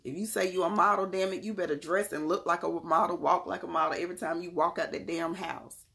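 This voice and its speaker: Gravelly Southern woman on a livestream. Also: fairly low pitch, fairly even intonation, fast, fairly bright tone. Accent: Southern US, North Carolina. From Charlotte, North Carolina. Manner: emphatic, motivational, blunt with casual cussing. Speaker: livestream host and tarot reader. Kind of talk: casual livestream talk, answering chat messages.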